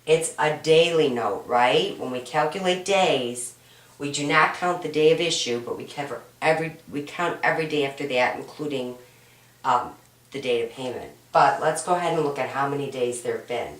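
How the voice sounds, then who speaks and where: A woman teaching an accounting homework walkthrough, hoarse and raspy from a cold, patient and step-by-step, often checking in with "right?". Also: a bass voice, moderate pace. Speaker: accounting instructor. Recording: roomy echo, mic at a distance.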